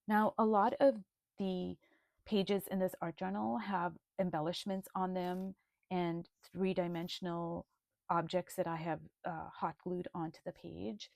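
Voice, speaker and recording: A woman presenting her art journal in a video, speaking in a slow, thoughtful, gently earnest way. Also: fairly low pitch, little pitch movement, gravelly, no echo, clean studio sound. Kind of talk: reflective video flip-through.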